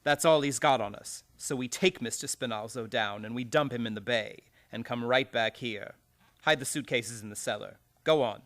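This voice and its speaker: An actor playing a cold-blooded, threatening criminal brother in a radio play. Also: male voice, medium pitch, normal speed, a bit bright, lightly acted. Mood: scheming, commanding. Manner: threatening, commanding.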